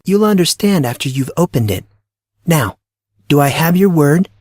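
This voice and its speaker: A man's voice: fairly high pitch, expressive, moderate pace, a little mellow, dry recording, studio quality, voice pushed up. A man voicing a bossy, teasing teenage girl in an audio drama, speaking in a taunting, dramatic way. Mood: solemn, insistent. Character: brusque, teasing, secretive blonde teenage high school girl. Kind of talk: audio drama character acting.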